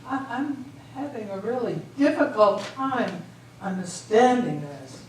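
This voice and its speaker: A woman's voice: medium pitch, animated, a little slow, darkish tone, close to an everyday voice. Lady acting a polite flustered traveler, pleading, stage reading, lots of echo, faint noise. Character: polite, flustered, insistent traveller stranded at border. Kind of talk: amateur readers theater stage performance. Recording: echoey hall from far off, faint noise.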